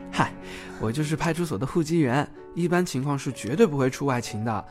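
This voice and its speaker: A man's voice: on the high side, lively intonation, brisk, music in the background, lightly acted. A man playing a bashful, easily flustered but polite young policeman in an audio drama. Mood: polite, friendly. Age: young adult.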